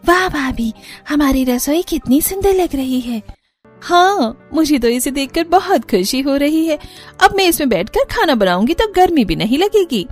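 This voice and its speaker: A woman's voice: high-pitched, expressive, moderate pace, faint music behind, pitched above the performer's own voice. A woman voicing a cheerful, determined young village woman in a cartoon, earnest and eager. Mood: delighted. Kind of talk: animated Hindi cartoon story acting.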